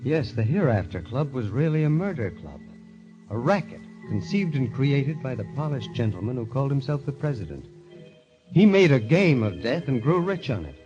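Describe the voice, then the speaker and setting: A man's voice: medium pitch, big pitch swings, lightly acted. Man acting a haunted, dramatic radio mystery narrator. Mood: compelled, confessional. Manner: dramatic, measured, heavy with dread. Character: haunted club member narrating his obsession, tense, confessional. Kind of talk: first-person golden age radio mystery narration. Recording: dull old radio recording with music.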